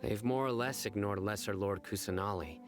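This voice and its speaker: A man acts as a stern game investigator with a low voice. He speaks in a formal, deliberate and gravely serious way, over plucked-string music. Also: close to an everyday voice. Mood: reflective. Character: stern, measured male investigator, young adult, gravely serious. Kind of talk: video game voice acting.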